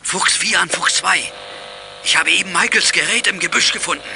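A man's voice: high-pitched, moderate pace, creaky, noisy background. A middle-aged man playing a commanding, concerned father who gives clipped radio orders in a children's radio play. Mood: urgent.

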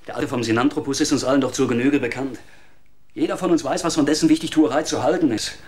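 A man's voice: mid-range voice, darkish tone, close to an everyday voice. An actor in a radio drama playing a nervous man under questioning who dodges and turns defensive. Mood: evasive, defensive. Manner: evasive, defensive, quickly flustered.

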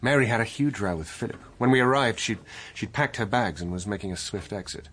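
An actor with a British accent playing an earnest, guilt-driven doctor turned investigator in a radio drama, speaking urgently and articulately. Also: male voice, on the low side, fairly quick, fairly bright tone, breathy edge. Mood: urgent explaining. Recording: faint background noise.

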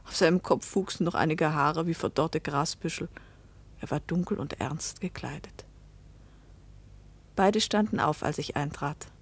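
Husky young woman narrator. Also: low voice, exaggerated voice. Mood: detached, amused. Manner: measured, reflective. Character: cool, secretly triumphant young woman narrating her own story. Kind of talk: first-person radio play narration.

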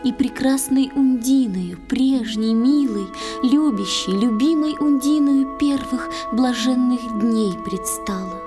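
A woman narrating an audio play in verse, slow, solemn and expressive. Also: a little high, music in the background. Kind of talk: scripted dramatic verse narration.

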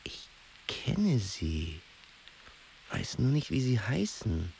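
An actor playing a brooding, solitary man in a literary radio drama, speaking in a halting, reflective way. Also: lowish voice, huge pitch swings, gravelly, a little mellow, a little hiss or hum, exaggerated voice. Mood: hesitant, reflective. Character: brooding solitary man recalling grief and lost love.

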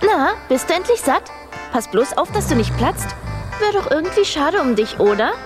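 An actress playing a cheeky, adventurous young mouse princess in a children's radio play, brash, eager and teasing. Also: female voice, high voice, very animated, normal speed, over music.

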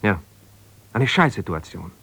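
Low-voiced, aggrieved husband. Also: male voice, big pitch swings, vocal fry, fairly bright tone, breathy edge, exaggerated voice. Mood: resigned, frustrated. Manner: halting, colloquial, self-justifying. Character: aggrieved, jealous husband, an ambitious lawyer. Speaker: radio drama actor. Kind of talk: naturalistic radio drama, one side of a phone conversation.

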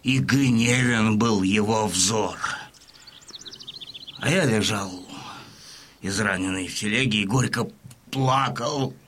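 Slow, solemn Cossack storyteller. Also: male voice, on the low side, noisy background. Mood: sorrowful, solemn. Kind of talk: dramatised audiobook narration.

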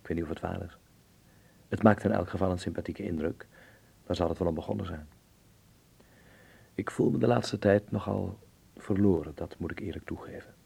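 An actor playing a young, ironic Dutchman in a radio drama, speaking articulately with a gravelly, husky voice. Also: low voice, fairly quick, long pauses, darkish tone, full character voice. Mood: reflective, wry. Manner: wry, articulate. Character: young, ironic, sensitive Dutchman living in 1930s Berlin. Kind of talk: radio drama acting and narration.

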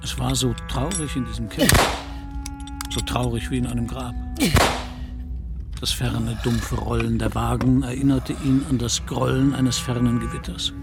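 Male narrator for literary radio drama, mid-pitched with plenty of creak, music underneath. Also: fairly even intonation, moderate pace. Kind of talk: scripted literary narration in a German radio play. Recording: music behind.

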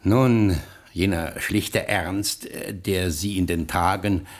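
A man's voice: sits low, fairly even intonation, slow pace. A man acting in a German radio play as a pompous, suspicious and uneasy husband, speaking in a stiff, ceremonious, hesitant way.

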